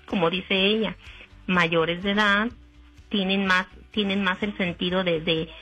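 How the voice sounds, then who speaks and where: A woman's voice: medium pitch, fairly even intonation, a little slow, fairly bright tone, muffled recording, soft background music. A woman, chatting casually as a radio caller in an exclaiming, teasing manner. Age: 50s. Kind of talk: casual chat.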